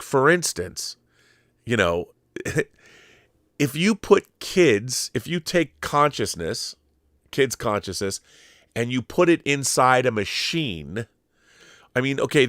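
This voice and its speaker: A man's voice: mid-range voice, fairly bright tone, dry recording, clean studio sound. A man hosting a pop-culture show, giving opinionated commentary in an emphatic way, repeating phrases for stress and often rephrasing as he thinks aloud. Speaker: commentator and host of a film and TV show.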